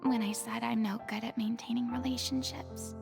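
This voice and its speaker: A woman's voice: fairly high pitch, level tone, normal speed, creaky. A woman voicing a guarded, proud and wistful young former stage idol in a video game, with a theatrical, confiding manner. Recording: music behind the voice.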